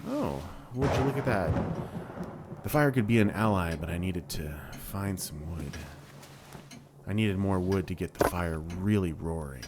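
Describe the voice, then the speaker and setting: Brief: low-voiced man, lively delivery, dry jokes over a horror game, noisy mic. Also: measured pace. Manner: wry asides while reading game text aloud. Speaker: let's play host. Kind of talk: casual gameplay commentary.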